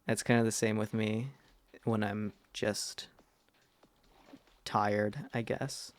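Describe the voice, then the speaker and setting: A young man with a deep voice chatting casually with his stream chat while he plays, rambling and self-deprecating, trailing off with fillers. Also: steady tone, slow pace. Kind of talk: casual gameplay commentary to chat.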